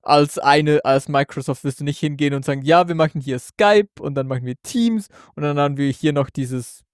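A man co-hosting a casual tech podcast, thinking aloud in a relaxed way. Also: a little high, brisk, clean tone, dry recording, clean studio sound. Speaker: podcast co-host. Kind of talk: casual tech news chat.

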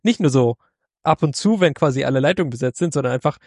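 Gravelly, dry-voiced man in casual chat. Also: medium pitch, expressive, fast, a little mellow, no echo. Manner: laid-back, joking, laughs often. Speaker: podcast co-host. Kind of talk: casual tech news chat.

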